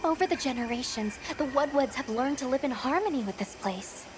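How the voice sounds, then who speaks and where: A woman playing a bright, curious, friendly young expedition member in a video game cutscene. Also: sits high, lively intonation, brisk, background noise. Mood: observant.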